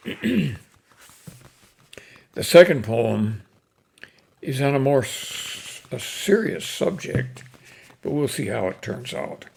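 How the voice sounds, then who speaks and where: Casting: older male poetry reader, folksy and unhurried, slow delivery, gravelly fry, mid-range pitch. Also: very animated, a little mellow. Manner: folksy, unhurried, with pauses between phrases. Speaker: host reading cowboy poetry. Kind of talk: casual introduction and expressive poem recitation.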